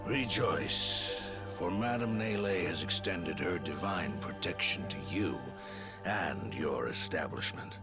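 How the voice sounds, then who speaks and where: A sanctimonious, menacing male sage in a dramatic video game. His very deep voice moves slowly with formal, serene control and expressive swells, over music. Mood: benevolent, unctuous.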